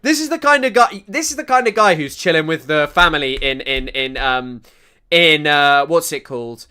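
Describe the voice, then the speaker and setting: A man's voice: on the high side, lively intonation, normal speed, pushed and bright, clear, resonant tone. A man in his twenties giving casual, mocking reaction commentary, rambling with lots of fillers and restarts. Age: 20s.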